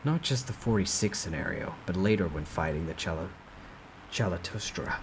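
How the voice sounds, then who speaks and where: Casting: man playing a stern, distrustful young fighter, low and clipped, for a dramatic novel reading; faint noise. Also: lively intonation, normal speed, low-quality recording. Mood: brooding. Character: cold, suspicious young male regressor fighter, terse.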